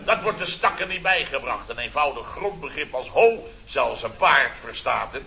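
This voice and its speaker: Blustering, bright-voiced sarcastic boss in radio comedy. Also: male voice, medium pitch, expressive, moderate pace, creaky, exaggerated voice. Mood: indignant, mocking. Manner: theatrical, exclamatory, sarcastic storytelling. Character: blustering, sarcastic middle-aged contractor boss telling his mishaps. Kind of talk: radio comedy serial acting. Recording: dull, noisy old radio recording.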